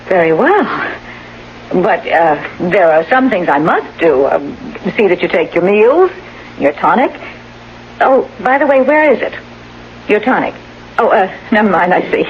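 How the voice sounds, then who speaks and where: An actress in a radio drama playing a brisk, unflappable nurse who coaxes in a polite, chatty, teasing way. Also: female voice, medium pitch, sing-song. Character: brisk, unflappable, cleverly coaxing female nurse. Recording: dull, hissy old radio broadcast.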